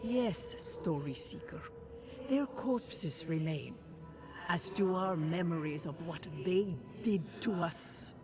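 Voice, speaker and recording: An actor playing an ancient, solemn guardian spirit of a fallen people in a video game, speaking formally and with measured gravity. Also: mid-range voice, lively intonation. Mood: grieving, solemn. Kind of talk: scripted dramatic game narration. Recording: game music behind. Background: clear background music.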